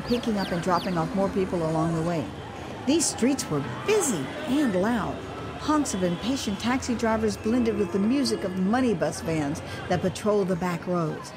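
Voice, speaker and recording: An American woman narrating her own memoir as an audio drama, in a wry, conversational storytelling manner. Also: medium pitch. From United States. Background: street ambience and vehicle sound effects.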